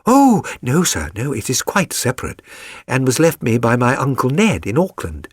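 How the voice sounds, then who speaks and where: A man's voice: medium pitch, huge pitch swings, moderate pace, breathy edge, no echo, clean studio sound, voice pushed up. A man voicing a chatty, flustered young working-class London woman in a storybook reading, rambling earnestly and eagerly.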